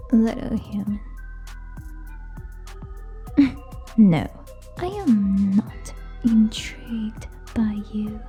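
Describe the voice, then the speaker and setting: A woman playing a haughty, teasing tsundere vampire in a scripted ASMR roleplay, whispering scornfully and deliberately, close to the microphone. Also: fairly high pitch, warm tone. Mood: amused, mocking, then dismissive, scornful.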